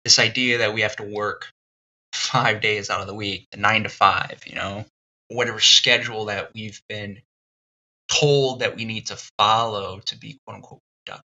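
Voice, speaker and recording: A man hosting a solo podcast, thinking out loud in a thoughtful, halting way with many ums and restarts. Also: on the low side, fairly even intonation, poor audio. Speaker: podcast host. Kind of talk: reflective solo podcast monologue.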